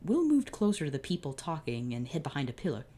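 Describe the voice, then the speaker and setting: Low-voiced woman reading a story. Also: sing-song, fast talker, dark tone. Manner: reads with slips and restarts. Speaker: channel host reading fan fiction.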